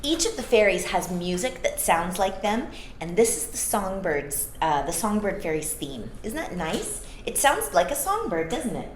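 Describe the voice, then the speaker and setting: A woman reading a children's story aloud, adding gentle, teacherly explanations for young listeners. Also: medium pitch, sing-song, moderate pace. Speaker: storytime reader. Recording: roomy echo, some background noise.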